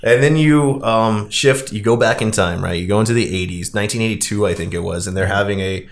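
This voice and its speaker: Man, low-pitched voice, podcast host, casual discussion, conversational recap, frequent asides. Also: brisk.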